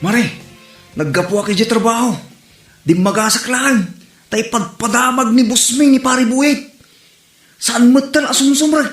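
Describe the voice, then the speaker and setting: A man's voice: very high voice, unhurried, pauses often, fairly bright tone, soft background music. A man acting a nosy, chatty neighbour in a comedy drama, recorded in an echoey room. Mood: inquisitive. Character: nosy, chatty male neighbour checking up on a friend.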